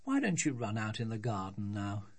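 A woman voicing a weary, put-upon father in a children's storybook, with drawn-out, desperate complaining. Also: a bass voice, big pitch swings, a little mellow, breathy edge, full character voice.

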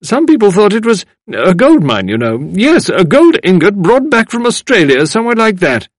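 A grim older village woman in a storybook, played by a male reader, high and forceful in pitch swings. She is dismissive and insistent, sure she knows more than everyone. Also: wildly swooping pitch, moderate pace, no echo, studio quality, exaggerated voice. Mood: scornful, insistent. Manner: emphatic, dismissive. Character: grim, iron-haired older woman, scornful know-it-all.

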